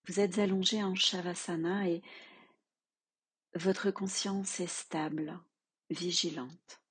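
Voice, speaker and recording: A woman teaching yoga nidra, leading a guided relaxation in a soft, calm, measured way with long pauses. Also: lowish voice, husky.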